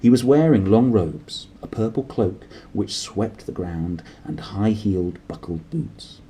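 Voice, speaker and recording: A man narrating a children's storybook aloud in an expressive style. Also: on the low side, unhurried, rough recording. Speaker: storybook reader.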